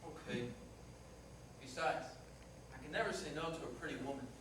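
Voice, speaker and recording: Guy acting as incredulous easygoing cabbie in a play reading, high voice, echoey and noisy. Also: moderate pace, lightly acted. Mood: friendly, obliging. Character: skeptical, easygoing male cab driver. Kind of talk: live staged play reading. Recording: noisy, very echoey hall, distant mic.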